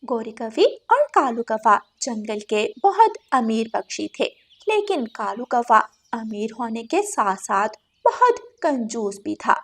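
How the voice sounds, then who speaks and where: Fairly high-voiced woman storyteller. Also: big pitch swings, slow. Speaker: story narrator. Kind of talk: scripted Hindi children's story narration.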